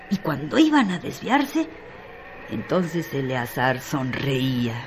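A man narrating a literary radio drama with deliberate, theatrical phrasing. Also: a little high, background noise.

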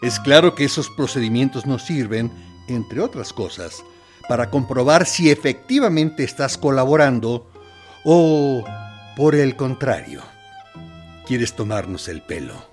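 A man's voice: medium pitch, animated, unhurried, soft background music, lightly acted. A man acting a suave, menacing interrogator, swinging intonation. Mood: coolly persuasive. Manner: theatrical, falsely kind, persuasive. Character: suave menacing military interrogator, coaxing and threatening a prisoner. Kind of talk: radio drama monologue.

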